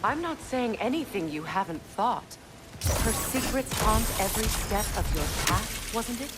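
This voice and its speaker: A woman's voice: a little high, expressive, unhurried, heavy background noise. An actress playing a proud, battle-hardened mature warrior queen in a video game, speaking frankly and firmly. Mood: probing. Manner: frank, probing, firm. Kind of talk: video game dramatic acting. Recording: loud game music behind.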